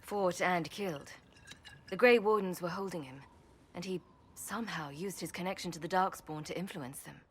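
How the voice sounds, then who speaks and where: Actress as tired modest hero woman in a fantasy game, lower voice, calm and thoughtful. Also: normal speed, slight background noise, close to an everyday voice. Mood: grave, informative. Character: weary, modest female champion, sober and helpful. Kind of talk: video game dialogue acting.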